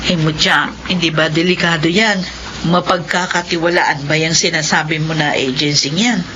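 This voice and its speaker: A woman's voice: sits low, fairly even intonation, moderate pace, bright, forceful tone, dull audio. An actress playing a stern, worried middle-aged mother in a radio drama, emphatic and scolding. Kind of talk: Filipino radio drama acting. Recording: noisy radio drama audio.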